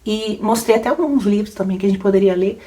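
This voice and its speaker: A woman's voice: medium pitch, fast, mellow. Chatty woman rambling with laughs. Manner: rambling, chatty, laughs often, many fillers. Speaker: book podcaster and YouTuber. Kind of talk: casual Q&A chat.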